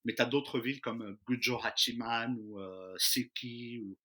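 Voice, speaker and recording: Very bright-voiced man from France. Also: medium pitch, unhurried. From Lyon region, France. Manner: easygoing, hesitant with frequent fillers. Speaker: interview guest, expatriate in Japan. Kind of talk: casual conversation.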